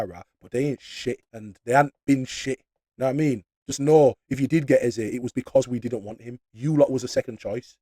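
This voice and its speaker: Animated Yorkshire man ranting about football. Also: mid-range voice, no echo. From Leeds, northern England. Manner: animated, slangy, mocking. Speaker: football channel host. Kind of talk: casual opinionated football rant.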